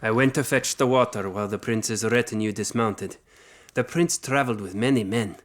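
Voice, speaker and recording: An actor playing a weathered knight who recounts his childhood in formal, measured phrases, in audio drama. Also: lowish voice, lightly acted. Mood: reflective.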